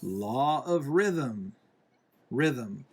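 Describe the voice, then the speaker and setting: A man reading a children's picture book aloud in a deliberate way, pausing between phrases. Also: mid-range voice, lively intonation, breathy edge. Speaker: reader, parent reading to a young child.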